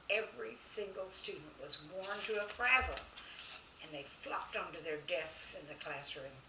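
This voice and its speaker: A woman reading children's stories expressively and chatting directly to young listeners. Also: on the low side. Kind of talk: children's story narration with chat. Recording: roomy, distant mic, faint noise.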